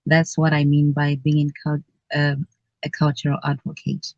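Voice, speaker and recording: A woman's voice: deep voice, completely flat delivery, moderate pace, a little mellow, dry recording, highs cut off. A woman from Zimbabwe, a guest on a radio interview, chatting and laughing often between phrases. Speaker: guest, cultural advocate.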